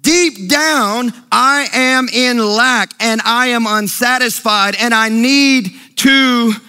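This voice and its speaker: Male preacher, sermon style, emphatic and sing-song, bright tone. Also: slow, smooth. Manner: emphatic preaching, sing-song cadence, drops to a low hush. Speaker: pastor.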